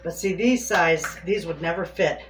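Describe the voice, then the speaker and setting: A woman's voice: fairly low pitch, normal speed, over music. A woman chatting homily to her viewers as she preps food in her home kitchen. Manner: chatty, homey, talks straight to viewers. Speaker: homesteading cooking vlogger. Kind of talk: casual kitchen demonstration. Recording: echoey kitchen with clear background noise.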